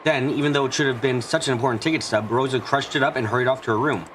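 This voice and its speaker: A man's voice: medium pitch, steady tone, racing, a bit bright, slight background noise. A man reading scripted narration for a visual novel in a storytelling role.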